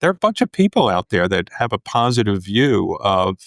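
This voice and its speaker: A man's voice: on the low side, brisk, gravelly, no echo, studio quality. A middle-aged man hosting a podcast interview, chatting casually with plenty of fillers and restarts. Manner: relaxed, rambling, many fillers. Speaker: podcast host.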